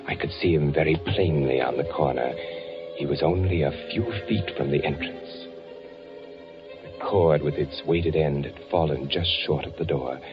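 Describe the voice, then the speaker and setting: An actor playing a suave spy who narrates his story in measured, theatrical 1940s radio drama style. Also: extremely low pitch. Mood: hushed, tense. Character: suave spy posing as Nazi officer, narrating tensely. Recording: muffled old 1940s radio recording.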